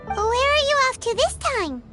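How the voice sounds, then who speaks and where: A woman voicing a tiny, curious, naive childlike companion in a video game, squeaky and earnest. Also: sing-song, moderate pace. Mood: puzzled, curious. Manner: refers to self in third person, squeaky and earnest. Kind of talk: video game character voice acting. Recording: music playing behind the voice.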